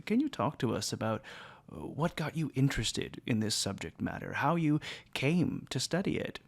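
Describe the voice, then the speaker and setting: Man acting as 18th-century gentleman scholar, podcast host, courtly and ornate, gravelly creak, close dry sound. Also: lowish voice, dry recording. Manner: courtly, ornate, theatrical phrasing. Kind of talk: formal podcast introduction and interview.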